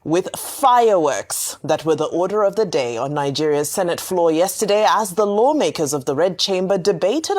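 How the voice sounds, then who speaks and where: A woman's voice: on the low side, darkish tone. A woman presenting a TV news show, reading reports and viewer reactions in broadcast style. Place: TV studio.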